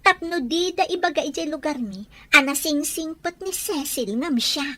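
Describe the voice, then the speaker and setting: A woman's voice: high voice, lively intonation, normal speed, pushed and bright. A woman playing a pushy, plotting young schemer in an audio drama, talking insistently.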